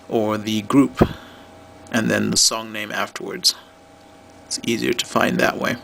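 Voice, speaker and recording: Bright-voiced man giving a product demo. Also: mid-range voice, gravelly, a little hiss or hum. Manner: plain, explanatory, step by step. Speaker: product demonstrator and phone seller. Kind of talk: unscripted how-to product demonstration.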